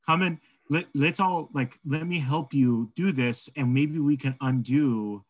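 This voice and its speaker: Male podcast co-host, mid-pitched, informal and conversational, chatting through stories and history. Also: unhurried. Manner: informal, peppered with "like" and "right?". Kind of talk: casual conversational discussion of folklore and history.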